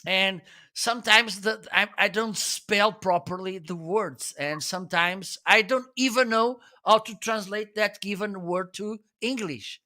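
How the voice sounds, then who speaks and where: Slow, bright-voiced man. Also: high voice. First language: Portuguese. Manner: earnest, checks in with "okay?". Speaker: live show host. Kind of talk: casual live-stream chat.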